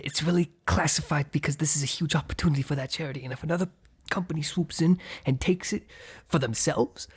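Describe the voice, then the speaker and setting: A man playing a gruff, short-tempered mob boss in an ASMR roleplay, with a gravelly, husky voice. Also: a little high. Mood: hushed, exasperated. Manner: commanding street-tough slang with crew, flustered hushed stammer with partner. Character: gruff, short-tempered male mob boss hiding his work from partner.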